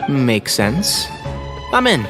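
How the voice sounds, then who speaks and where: A man voicing an easygoing, observant young man in a video game, wry and expressive. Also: medium pitch, drawn-out words, heavily acted. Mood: agreeable. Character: easygoing, observant young male former student. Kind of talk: acted video game dialogue. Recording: clear music bed behind voice.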